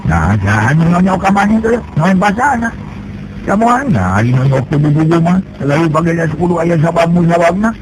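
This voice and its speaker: A man narrating a traditional folk tale, jumping up in pitch when he voices the characters. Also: moderate pace, noisy background. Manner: lively storytelling, jumps in pitch for characters. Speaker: storyteller.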